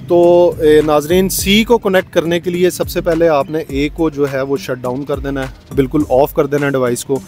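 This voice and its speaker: Mellow man giving a step-by-step tutorial. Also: fairly high pitch, flat delivery, moderate pace, few pauses, soft background music. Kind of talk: step-by-step product demonstration.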